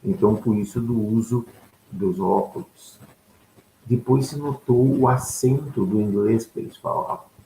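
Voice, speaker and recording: A man's voice: on the low side, measured pace, mellow. A man giving an explanatory lecture, asking questions aloud and answering them himself, often checking in with his listeners. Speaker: ufology lecturer. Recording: echoey room with faint background noise.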